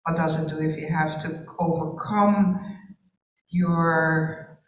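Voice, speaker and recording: A woman's voice: low voice, fairly even intonation, slow pace. A woman from Curacao with Dutch as her first language, speaking reflectively on a panel, searching for words with restarts. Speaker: panel participant. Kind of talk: panel discussion. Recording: roomy sound from far off the mic.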